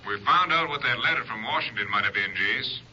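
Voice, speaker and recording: A businesslike Ranger captain with a sharp, bright, matter-of-fact delivery. The recording has the muffled tone of old radio. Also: male voice, medium pitch, expressive, brisk, faint music behind. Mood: brisk. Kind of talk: 1950s radio drama acting. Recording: dull old broadcast.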